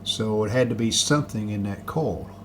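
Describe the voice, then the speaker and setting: A man giving a casual hands-on repair walkthrough, unhurried and thinking aloud as he works at an indoor bench. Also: on the low side. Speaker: hobbyist restorer presenting a repair video. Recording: clear background noise.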